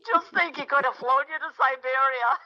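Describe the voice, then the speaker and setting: An actress playing an older, brassy best friend in an audio comedy, sardonic, blunt and teasing. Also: female voice, sits high, normal speed, lo-fi sound, natural voice. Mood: dry, incredulous. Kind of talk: audio theatre comedy acting.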